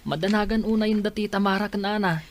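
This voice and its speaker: A man's voice: high voice, steady tone, fast talker, close to an everyday voice. An actor in an Ilocano radio drama playing a concerned young man, with an Ilocano accent. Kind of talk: Filipino radio drama acting.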